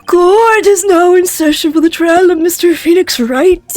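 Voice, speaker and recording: A woman with an Icelandic accent voicing a formal old male judge, stiff and slow-spoken. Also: very high-pitched, a little mellow, no echo, soft background music, pitched above the performer's own voice.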